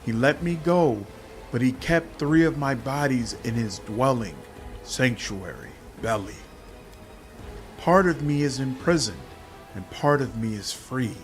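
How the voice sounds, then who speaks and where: Man voicing a slow, halting demon insect swarm. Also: medium pitch, expressive, soft background music, close to an everyday voice. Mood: pleading. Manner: halting, intoning lists of synonyms one by one. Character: many-bodied demon insect swarm, female, captive, hungry, suffering. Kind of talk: game character dialogue.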